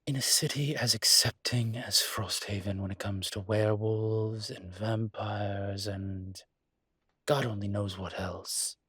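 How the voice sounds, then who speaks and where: A suave demon acted by a man for an intimate roleplay audio drama. His voice is lowish and husky, with little melodic movement. He speaks wryly and articulately, proud and protective of his kind, in a very dry, close recording. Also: fairly even intonation, a bit bright, no room sound. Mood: wry, troubled. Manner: wry, articulate, unhurried emphatic phrasing.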